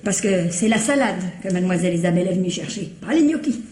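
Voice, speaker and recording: An actress playing a good-natured, plain-spoken household cook, colloquial and full of interjections, in a French radio mystery. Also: female voice, lowish voice, animated, fast talker, darkish tone, slight background noise, close to an everyday voice. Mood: chatty, matter-of-fact. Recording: roomy, echoing sound.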